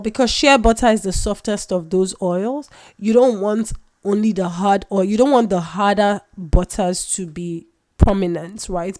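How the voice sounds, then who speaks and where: Woman host, has a cold, mid-pitched, chatty and self-correcting. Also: mellow. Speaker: skincare maker, channel host. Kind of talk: casual tutorial vlog.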